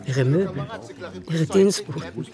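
A woman's voice: deep voice, expressive, measured pace, crowd noise. A woman narrating a literary radio play in a scripted, storytelling style.

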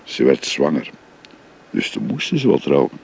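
An actor playing an older father who gently confides his family history to a young woman, in a radio drama. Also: a bass voice, huge pitch swings, normal speed, creaky, a little hiss or hum, heavily acted. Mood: gentle, confiding. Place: recorded on location.

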